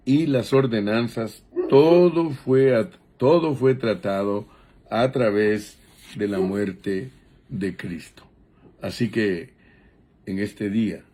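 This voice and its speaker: A man preaching a Bible teaching sermon in a deliberate, measured way, pausing between short phrases. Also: on the low side, a little mellow.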